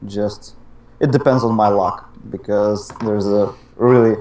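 A man's voice: fairly low pitch, fairly even intonation, measured pace, mellow, slight background noise. A man in his twenties with a Russian accent, answering interview questions in a hesitant, easygoing way. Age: 20s. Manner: hesitant, laughs between phrases. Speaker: interview guest, YouTuber. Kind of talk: casual online interview.

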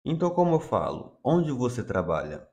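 A man's voice: mid-range voice, big pitch swings, moderate pace, creaky, a little mellow, dull audio. A man teaching English to Portuguese speakers, giving each phrase in Portuguese and then saying the English twice. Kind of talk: slow bilingual drill lesson.

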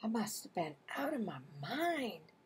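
Storybook schoolboy, played by a woman reader: awkward, halting, husky, unhurried. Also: on the high side, big pitch swings, full character voice. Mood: musing. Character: schoolboy, awkward and unsure of himself.

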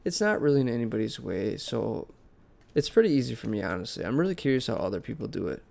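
Sick, nasal man with gravelly voice. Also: medium pitch, fast. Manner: relaxed, rambling, conversational. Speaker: plant channel host. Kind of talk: casual voice-over vlog narration. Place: basement plant room.

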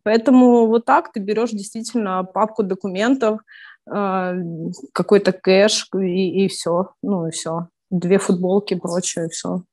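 Young woman, video-call interviewee, earnest and halting, middle pitch. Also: fairly even intonation, a little mellow. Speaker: evacuated interviewee.

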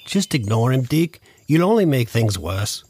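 A man's voice: mid-range voice, sing-song, normal speed, darkish tone, slightly rough, no echo. A man playing a patient, long-suffering assistant in an audio comedy, mild, hesitant and placating. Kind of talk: scripted audio comedy acting.

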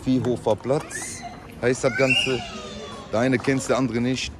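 A man's voice: mid-range voice, flat delivery, measured pace, crowd noise, background noise. A man in his thirties vlogging casually to a handheld camera, chatty and joking with his viewers. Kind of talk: casual vlog narration to camera. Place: hair salon and city street. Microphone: handheld camera at arm's length.

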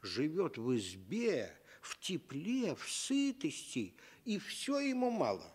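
A man narrating a scripted fairy tale as an expressive storyteller. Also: a little high, slow pace, slightly rough.

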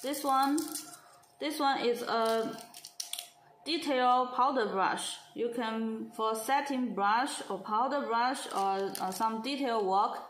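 Woman doing a slow product walkthrough, fairly high voice, echoey room, faint hiss. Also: a bit bright. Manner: slow, halting, simple phrasing with many restarts. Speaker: brush company representative. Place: indoor showroom at a marble table.

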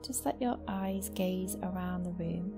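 A woman guiding a meditation as a yoga nidra teacher, speaking slowly and gently in a soft, soothing voice with long pauses. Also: on the low side. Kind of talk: guided meditation. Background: new-age music.

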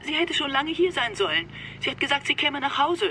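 A woman's voice: sits high, brisk, sharp tone, a little hiss or hum. An actress playing a worried mother on the telephone in a radio play, speaking urgently. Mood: anxious.

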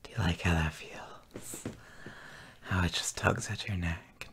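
Slow, breathy man murmuring intimately. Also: low voice, fairly even intonation. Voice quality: breathy, half-whispered. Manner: tender, gently dominant, slow murmuring with soft hums. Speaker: audio roleplay performer. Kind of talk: intimate whispered boyfriend roleplay.

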